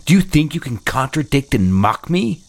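A man's voice: mid-range voice, sing-song, normal speed. An actor playing a controlling, condescending husband in a dramatic audio drama, patronizing as he moves between cooing and scolding. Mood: cold, contemptuous.

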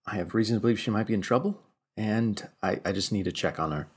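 Man playing an earnest, low-voiced investigator. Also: fast, slightly rough. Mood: worried, pleading. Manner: stammering, polite, persuasive. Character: earnest, worried, pleading male investigator.

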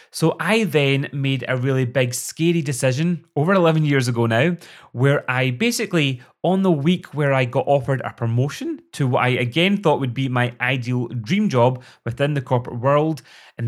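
A middle-aged man with a Scottish accent hosts his own podcast, speaking in an earnest, upbeat coaching tone. His voice sits at an ordinary middle pitch. The talk is a prepared monologue aimed straight at the listener. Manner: upbeat, earnest, motivational delivery. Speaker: podcast host, performance coach.

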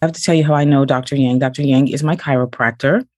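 A woman's voice: rumbling low, steady tone, fast talker, a little mellow, no room sound, studio quality. A woman hosting a podcast interview, reading a guest introduction and then chatting, laughing at her own slips. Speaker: podcast host.

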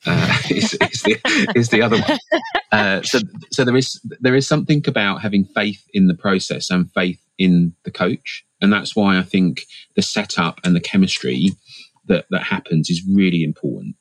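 A man answering interview questions as an HR leader and coach, thoughtful and measured with frequent fillers and restarts. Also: low voice, expressive, no room sound.

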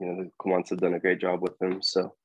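A man answering interview questions in a relaxed, hesitant way, full of fillers and restarts. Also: deep voice, a little fast, breathy edge, rough recording. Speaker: podcast guest.

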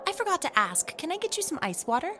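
A woman's voice: extremely high pitch, sing-song, fast, bright, forceful tone, slight background noise, exaggerated voice. A woman playing a helpful, literal-minded prison sidekick in a comedy audio drama, explaining things matter-of-factly.

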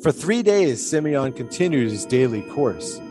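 A man's voice: medium pitch, sing-song, measured pace, music in the background. A man playing an eccentric, devout 1920s pole-sitter in an audio drama, musing in folksy, old-fashioned storytelling phrases. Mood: solemn storytelling.

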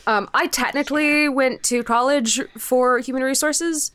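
A woman's voice: high-pitched, steady tone, unhurried, a bit bright, dry recording. A young woman chatting casually as a podcast guest, emphatic and openly opinionated, with informal, sweary phrasing. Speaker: podcast guest, indie audio drama creator. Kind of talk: casual interview conversation.

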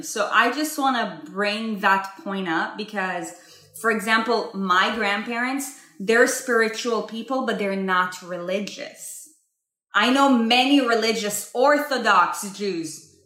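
A woman's voice: medium pitch, measured pace, fairly bright tone, echoey room. A woman from Israel co-hosting a casual talk about spirituality, emphatic and repeating herself as she addresses listeners directly.

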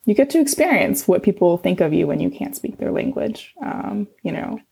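A woman's voice: medium pitch, very animated, moderate pace, creaky, a little mellow, slightly rough. A middle-aged woman, a scientist guest whose first language was Chinese, answering in casual conversation, hesitant with many ums and restarts.

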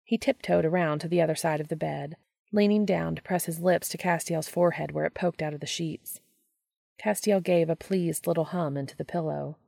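A woman's voice: low voice, fairly even intonation, fairly quick, creaky, mellow, dry recording. A woman reading fiction aloud as scripted audiobook narration. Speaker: audiobook reader of fan fiction.

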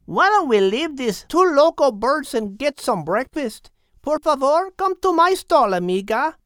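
Lady voicing a friendly raven wife, spanish bits, higher voice. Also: very animated, unhurried, a bit bright, dry recording, clean studio sound, full character voice. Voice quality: mock Spanish phrases. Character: warm female raven wife, sociable.

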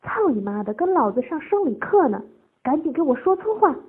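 A woman's voice: high-pitched, normal speed, phone-quality sound, pitched above the performer's own voice, lightly acted. A woman voicing a coarse, domineering male official who barks bullying, foul-mouthed orders in an audiobook. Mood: angry, aggressive.

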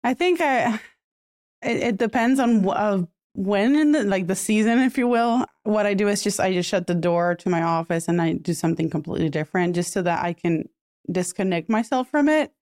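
A relaxed woman guest from Puerto Rico, a native Spanish speaker, with a mid-range voice. She answers thoughtfully, often pausing and laughing softly. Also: medium pitch, no echo, clean studio sound. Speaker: podcast guest. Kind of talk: casual interview answers.

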